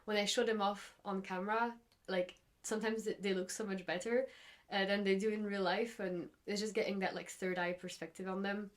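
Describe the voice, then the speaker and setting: A woman's voice: medium pitch, fairly even intonation, fairly quick. A young woman from Belgium hosting a knitting podcast from her craft room at home, chatting casually and rambling with lots of fillers and restarts.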